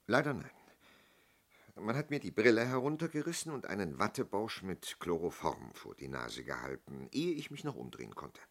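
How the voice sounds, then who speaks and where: Polite older guy giving a police statement in a radio play, deep and husky, hesitant, over explains. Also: big pitch swings. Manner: halting, over-explaining, courteous. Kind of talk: German radio play acting.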